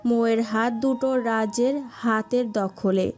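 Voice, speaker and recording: A woman's voice: a little high, unhurried, darkish tone. A woman reading a scripted story as its narrator. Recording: dull muffled sound with music behind.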